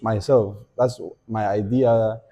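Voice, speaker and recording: A young man with an Argentine Spanish accent, Spanish as his first language, talking as a podcast guest in a thoughtful way, pausing to think and often restarting his sentences. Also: on the low side, fairly even intonation, dark tone. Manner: reflective, thinking aloud with many fillers and restarts. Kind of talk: casual podcast conversation.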